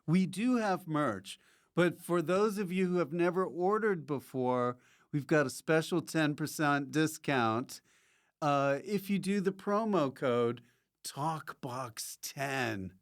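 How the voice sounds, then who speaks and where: A man's voice: a little high, slow pace. A man presenting a casual promotional aside about merchandise.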